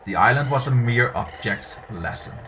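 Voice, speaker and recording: A man's voice: mid-range voice, lively intonation, moderate pace. A middle-aged man reading a story aloud in a narrator's style, occasionally stumbling over words. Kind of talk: dramatic story read-aloud. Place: indoor room at a streaming desk. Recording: clear background ambience noise.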